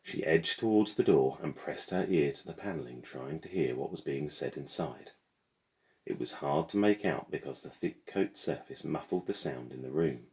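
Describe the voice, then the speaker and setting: A man reading a children's novel aloud in expressive storybook narration. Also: a bass voice, moderate pace. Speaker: teacher reading aloud to pupils.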